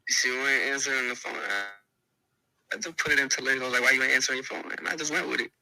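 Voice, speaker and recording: A man's voice: mid-range voice, fairly even intonation, quick pace, vocal fry, background noise. A teenage male rapper from Venezuela answering interview questions in a laid-back, slangy way with mumbled restarts. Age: teens. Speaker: rapper guest.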